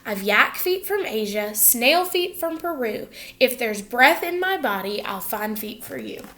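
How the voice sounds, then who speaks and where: A woman's voice: fairly high pitch, lively intonation, measured pace, sharp tone, full character voice. A woman voicing an old male peddler from a storybook, a cheerful street seller with sing-song calls. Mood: hawking, cheerful. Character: cheerful old male peddler hawking feet, sighing with age. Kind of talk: storybook read-aloud character voice.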